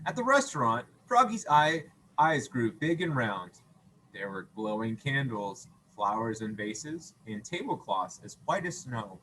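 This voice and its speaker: A man reading a children's story aloud as a teacher, playful and expressive, with acted sound effects and questions to listeners. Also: mid-range voice, a little slow, slight background noise, poor audio.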